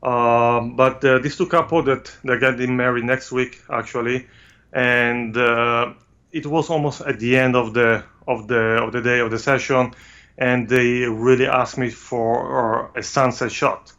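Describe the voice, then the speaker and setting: A man from Italy with an Italian accent, talking about his photography in a hesitant way with many pauses, over a video call. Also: mid-range voice, fairly even intonation, a bit bright, lo-fi sound. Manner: hesitant, frequent "uh" pauses, non-native phrasing. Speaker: guest photographer. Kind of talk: remote interview, casual explanation. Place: home studio.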